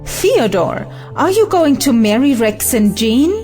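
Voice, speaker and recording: A woman's voice: a little high, big pitch swings, unhurried, mellow, soft background music. A woman playing an idealistic, resolute bride in an audio play, impassioned and emphatic. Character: resolute, idealistic bride defending free love. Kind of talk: audio stage play acting.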